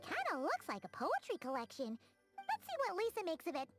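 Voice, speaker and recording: A woman voicing a small, curious and excitable fairy companion in a video game, with a squeaky, childlike voice. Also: a little fast, music in the background, full character voice. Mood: pondering.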